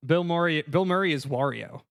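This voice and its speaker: A man on a podcast panel, casually pitching comedic ideas in a conversational way. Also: a little fast, gravelly, a bit bright, dry recording, studio quality.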